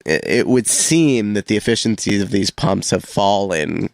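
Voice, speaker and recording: A man's voice: lowish voice, moderate pace, vocal fry, no echo. A man co-hosting a local radio show, chatting casually and explaining things in informal but technical terms. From Canada.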